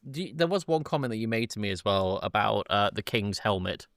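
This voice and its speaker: A man's voice: lowish voice, lively intonation, brisk, creaky, a bit bright, no room sound. A man co-hosting a podcast, chipping into casual chat with dry, wry remarks. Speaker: podcast co-host.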